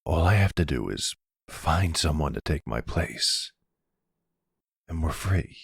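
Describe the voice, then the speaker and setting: Male actor as devoted mobster partner for ASMR drama: husky, unhurried, halting, tender, weary older man. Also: expressive, no echo, studio quality, heavily acted. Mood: earnest, weary. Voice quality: very deep, gravelly. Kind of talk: ASMR audio roleplay acting.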